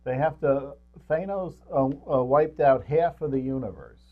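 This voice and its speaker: A man's voice: medium pitch, normal speed. Middle-aged man, dull lo-fi recording. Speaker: co-host of a movie review show. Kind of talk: casual chat about films.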